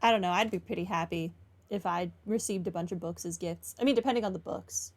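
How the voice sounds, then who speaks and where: A woman's voice: fairly low pitch, quick pace, noisy background. A woman gaming streamer giving casual, wry, informal commentary on a playthrough. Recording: game music behind voice.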